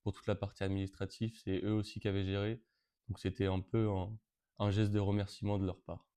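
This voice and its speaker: Low, mellow-voiced young man. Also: level tone, fairly quick, smooth. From Brittany, France. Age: teens. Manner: hesitant, frequent fillers and restarts. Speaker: interviewee, event co-organizer. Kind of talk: casual interview answers.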